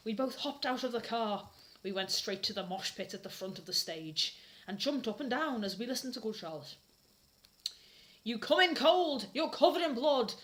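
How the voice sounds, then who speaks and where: A woman's voice: on the high side, a bit bright. British woman giving a dramatic reading. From Britain. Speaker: host reading fanfiction aloud.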